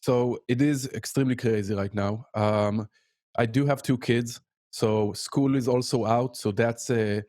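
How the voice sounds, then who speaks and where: Israeli-accented man, middle-aged, halting podcast guest, dry close mic. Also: no echo. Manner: halting, many fillers and restarts, lively pitch jumps. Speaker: podcast guest, music educator. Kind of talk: casual interview chat.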